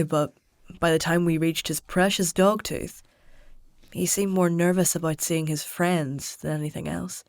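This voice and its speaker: An actress playing a practical, stubborn travelling healer in a fantasy audio drama, with a dry, matter-of-fact, conversational way of talking. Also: female voice, fairly low pitch, no room sound, close to an everyday voice. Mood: reflective, uneasy. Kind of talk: dark fantasy audio drama dialogue and narration.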